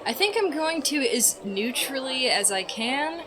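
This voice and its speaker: Higher-voiced woman playing a tabletop game. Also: sing-song, a little slow, a crowd in the background. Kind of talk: casual game talk.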